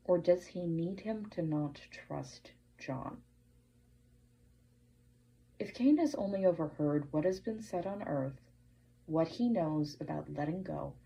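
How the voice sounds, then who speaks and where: A woman giving prepared commentary as a podcast listener, measured and deliberate, posing pointed questions. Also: deep voice, vocal fry, mellow, muffled recording. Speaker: listener sending in a voice message.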